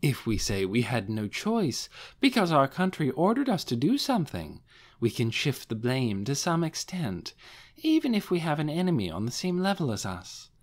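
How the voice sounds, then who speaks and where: A silky, high-pitched scheming woman, acted out by a male narrator in a fantasy audiobook. She is haughty and poised, devoted to her dark lord. Her phrases rise and fall with a lively melody. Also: normal speed. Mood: explanatory.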